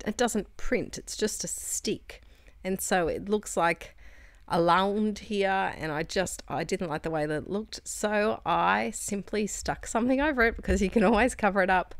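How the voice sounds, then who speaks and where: A woman from Australia chatting casually while making crafts, rambling and correcting herself, her voice a little croaky. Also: fairly low pitch, no echo. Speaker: crafting vlogger.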